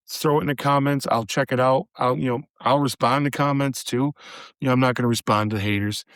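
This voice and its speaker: A man hosting a solo horror movie review podcast, chatty and casual, calling his listeners guys, his voice a little hoarse from a recent cold. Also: mid-range voice, no echo. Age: adult with a daughter. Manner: chatty, addresses listeners as guys, many fillers. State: slightly hoarse, recovering from a cold.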